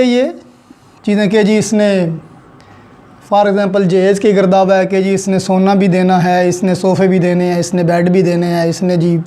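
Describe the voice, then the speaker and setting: A man with a Pakistani accent teaches in a notably high voice with a mellow, rounded tone. He explains methodically, laying out each point and repeating it with examples, switching into English for technical terms. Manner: didactic, spells out points with examples and repetition. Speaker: law teacher. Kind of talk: explanatory lecture mixing Urdu with English legal terms.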